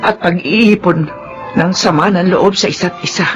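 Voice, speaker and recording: An actress playing a gentle, forbearing older Filipino mother-in-law in a radio drama, speaking in a measured, consoling way. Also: fairly low pitch, natural voice. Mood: consoling, earnest. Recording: dull recording under music.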